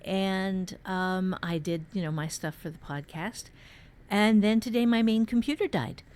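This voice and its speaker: A woman's voice: medium pitch, measured pace, a little mellow, clean tone. A woman, an author and writing teacher, chatting casually as a podcast co-host. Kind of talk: casual conversational chat.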